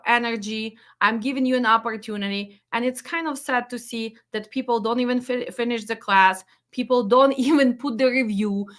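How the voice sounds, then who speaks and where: Blunt, bright-voiced woman with a Ukrainian accent. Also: on the high side. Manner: blunt and emphatic, repeats instructions; slightly broken English grammar. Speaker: freight dispatch trainer. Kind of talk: direct-to-camera instructional talk.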